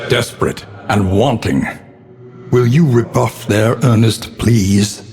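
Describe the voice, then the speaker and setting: A man's voice: low-pitched, a little mellow. An actor playing a grave, weary, learned older man who narrates a gothic horror game with solemn, ominous, theatrical diction. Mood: solemn, challenging. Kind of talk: dramatic scripted gothic narration. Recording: long reverberant hall echo.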